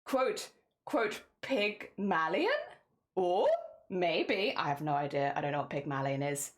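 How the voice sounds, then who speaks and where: A woman's voice: sits low, measured pace. Chatty British woman with a mixed accent. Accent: mixed British, southern English, Midlands and Welsh. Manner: chatty and rambling, laughs often. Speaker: streamer. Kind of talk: casual chat with viewers.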